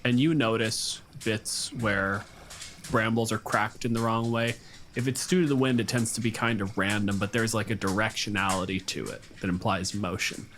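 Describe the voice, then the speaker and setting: Low-voiced man narrating, noisy background. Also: fairly even intonation, moderate pace, fairly bright tone. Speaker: game master. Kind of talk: improvised narration of a tabletop game. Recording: clear background noise.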